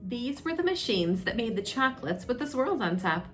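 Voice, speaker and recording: A woman's voice: fairly high pitch, big pitch swings, moderate pace, soft background music. A woman reading a children's storybook aloud as a story time host, expressively, drawing out suspenseful phrases.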